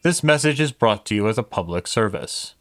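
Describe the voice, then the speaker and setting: Low-pitched male old-time radio announcer. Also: animated, brisk.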